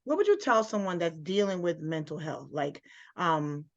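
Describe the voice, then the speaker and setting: A woman's voice: on the low side, sing-song, normal speed. A woman hosting an interview who reacts with encouragement and asks loose, rambling questions in casual talk. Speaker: interview host. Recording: slightly dull, lo-fi sound.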